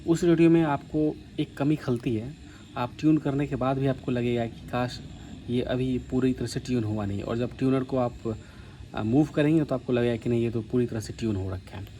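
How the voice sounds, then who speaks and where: A man from India giving a casual, explanatory hobbyist demonstration, pausing now and then mid-sentence. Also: medium pitch, warm tone. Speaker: vlogger demonstrating a vintage radio. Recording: faint background noise.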